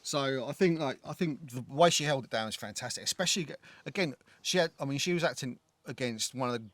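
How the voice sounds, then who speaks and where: London man, quick rambling film chat, dry close sound, lots of fillers. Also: medium pitch, a bit bright, dry recording. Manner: rambling, swears freely, many fillers and restarts. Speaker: podcast co-host. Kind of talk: casual film discussion. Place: living in Ireland.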